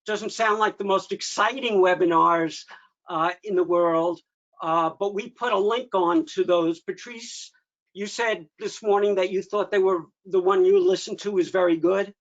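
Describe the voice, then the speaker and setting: High-pitched man on a dull call. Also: steady tone, a little slow, fairly bright tone. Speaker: meeting host. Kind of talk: informal meeting update. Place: online video meeting. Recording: dull lo-fi video call audio.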